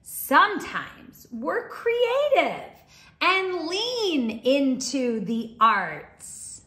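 A woman teaching young children, reading a storybook aloud in an expressive, deliberate way. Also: sits high. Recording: roomy, echoing indoor sound.

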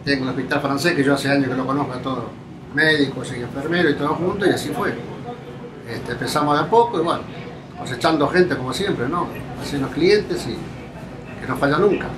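An older man answers interview questions in a plainspoken, unhurried way, filling his pauses. His voice sits a little high for a man. The room is echoey, with a crowd murmuring behind him. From Argentina. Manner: plainspoken, unhurried, trailing fillers. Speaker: café owner. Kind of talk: casual interview. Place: small café indoors, facing the camera. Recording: echoey café with crowd noise.

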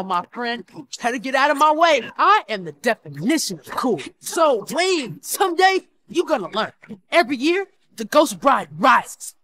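A woman's voice: sits high, a bit bright. A woman playing a cool, confident streetwise boy in a video game, with teasing, laid-back swagger.

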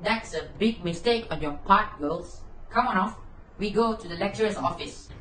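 A man's voice: high voice, moderate pace, fairly bright tone, poor audio. Young guy as stern campus guard, scolding n menacing, amateur play, bit of bg noise. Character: stern male campus guard catching mischievous students. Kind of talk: amateur reader's theater acting.

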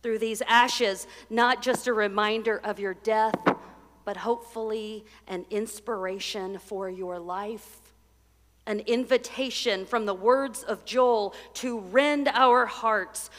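A woman in her forties preaching at a church pulpit, conversational and playful, then soft and reflective. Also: on the high side, steady tone, takes it slowly. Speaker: pastor. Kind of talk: sermon. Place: church sanctuary, indoors. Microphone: stand mic at a pulpit. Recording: long church reverb.

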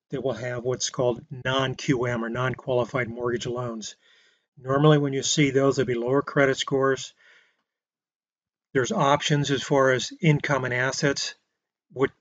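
Male instructor, mid-pitched, steady lecture voice-over; dry, slightly muffled recording. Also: no room sound. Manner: plain explanatory delivery with restarts and filler words. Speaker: real estate instructor. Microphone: voice-over on slides.

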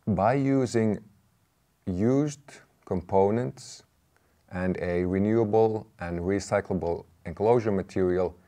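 A man in his thirties giving a measured, explanatory product presentation to camera. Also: sits low, warm tone. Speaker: presenter, company representative. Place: indoor room with brick wall, seated at a table.

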